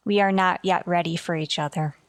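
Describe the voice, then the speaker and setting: An actress playing an earnest, deferential starship communications officer in a sci-fi radio drama. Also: female voice, lowish voice, fairly even intonation, a little fast, dry recording, natural voice. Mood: formal, wistful. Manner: earnest, respectful, careful.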